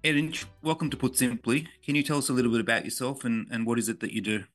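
A man speaking in an earnest, measured way as a podcast co-host in a conversational discussion. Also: medium pitch, steady tone, fairly bright tone, dry recording, soft background music.

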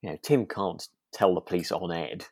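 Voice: sits low, normal speed, darkish tone, no room sound, studio quality. A British podcast co-host chatting casually about a radio drama in a hesitant, conversational way.

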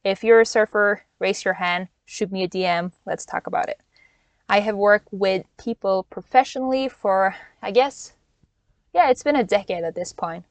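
A woman in her twenties from Norway hosts her own coaching podcast, speaking straight to the listener. She sounds earnest and encouraging, with the odd restart and small laugh. Her voice sits at an ordinary pitch and is recorded dry, with little room sound, from inside a van. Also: normal speed, dry recording. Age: 20s. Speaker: podcast host and life coach. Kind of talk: solo podcast talk.

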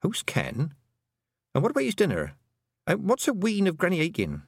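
A practical, sharp young girl, read by a man, brisk and direct with a lifted pitch. Lively in intonation, in a dry, close recording. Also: gravelly, slightly rough, no echo, exaggerated voice. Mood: puzzled.

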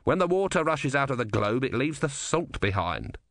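A man voicing a patient, matter-of-fact engineer in an audiobook, deadpan and mildly fretful. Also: medium pitch, normal speed, dry recording, clean studio sound, pitched above the performer's own voice. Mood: patient explaining.

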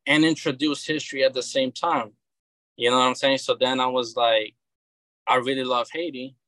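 Bright-voiced Brazilian man, halting speech. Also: mid-range voice, steady tone, no room sound. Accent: Brazilian Portuguese. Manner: hesitant, many repeated words and restarts. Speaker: guest, comic book creator. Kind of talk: casual interview chat.

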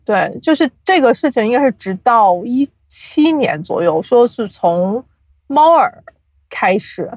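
A young adult woman chatting casually as an interview guest, explaining things from experience with animated storytelling and self-deprecating humour. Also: on the high side, unhurried, no room sound. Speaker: podcast guest, audio drama producer.

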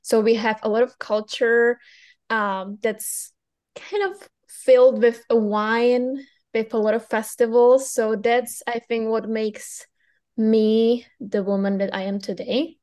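Slow-talking woman. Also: on the high side, steady tone, darkish tone. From Czech Republic, South Moravia. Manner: slow, careful, hesitant. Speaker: podcast guest.

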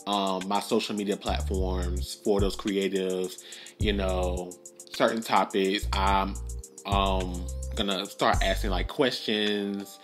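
A man's voice: deep voice, little pitch movement, slow pace, soft background music. A young man hosting his own podcast alone, talking earnestly and rambling with many restarts and fillers. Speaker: podcast host. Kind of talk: solo podcast monologue.

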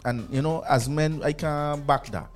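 A man's voice: on the high side, unhurried, faint music behind. Earnest man with a lilt. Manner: repeats words when starting a thought, folksy and earnest. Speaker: radio show co-host. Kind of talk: casual radio talk show discussion.

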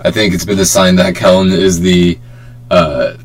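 A man's voice: deep voice, little pitch movement, moderate pace. A young man hosting a casual, joking group podcast chat with plenty of banter. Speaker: podcast host. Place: remote group call.

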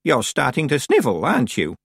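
A man voicing a very old, sharp-tongued village witch woman who bosses people about in an imperious, fussy way. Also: mid-range voice, wildly swooping pitch, a little fast, creaky, husky, no echo, studio quality, voice pushed up, heavily acted. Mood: brisk.

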